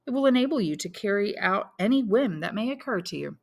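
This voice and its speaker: A woman's voice: medium pitch, animated, fairly quick, vocal fry, pitched above the performer's own voice. A woman voicing a cool, matter-of-fact businesslike man dismissing his lover in an audiobook. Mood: cool, brisk. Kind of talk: audiobook character dialogue.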